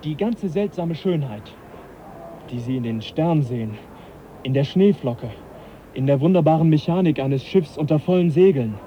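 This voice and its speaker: An actor playing the young naturalist Charles Darwin, earnest and measured, in a radio play. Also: male voice, fairly high pitch, lively intonation, close to an everyday voice. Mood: persuasive. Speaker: scientist lecturing. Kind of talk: 1980s German radio play acting. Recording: dull lo-fi radio recording, faint hiss.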